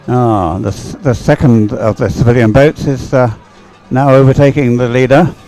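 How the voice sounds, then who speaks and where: Halting male live race commentator, music behind. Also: medium pitch, fairly even intonation, dark tone, low-quality recording. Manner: halting, thinking aloud as he watches. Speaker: sports commentator.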